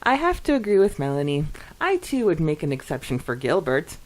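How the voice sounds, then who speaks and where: Giggly, lively woman podcast co-host. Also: low-pitched, fairly quick, poor audio. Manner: giggly, expressive. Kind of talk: casual chat, reading and reacting to listener comments.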